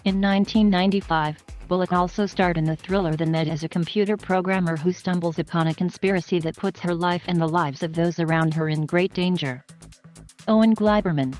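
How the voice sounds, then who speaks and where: A woman reading a scripted biography narration in an even, flat manner. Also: on the low side. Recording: faint music underneath.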